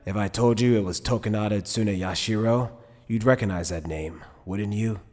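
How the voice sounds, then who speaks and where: A man playing an easygoing but shrewd middle-aged head captain in an anime fan dub, speaking in a measured, wry, casual way. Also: lowish voice, darkish tone, lightly acted. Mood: grave, measured. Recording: long hall reverb.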